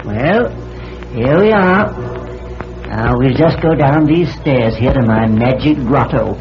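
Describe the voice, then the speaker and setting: An American actor playing a scheming old magician in a radio mystery, slyly polite and boastful in a halting way. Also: male voice, mid-range voice, expressive, music in the background. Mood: smug. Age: older. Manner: halting, chuckling, sly politeness. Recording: muffled, noisy old radio transcription.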